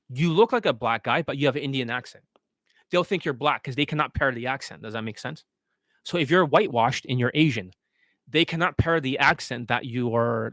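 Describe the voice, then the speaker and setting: Male livestream coach, average pitch, blunt instructional question-and-answer delivery, dry recording. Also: a bit bright, no echo. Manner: blunt, didactic, often checking with rhetorical questions. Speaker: dating coach and livestream host.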